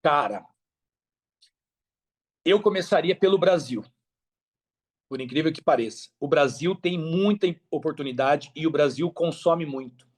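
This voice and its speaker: Higher-pitched Brazilian man, colloquial podcast guest. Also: unhurried, pauses often. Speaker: podcast guest, affiliate marketer. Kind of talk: casual podcast conversation, giving advice.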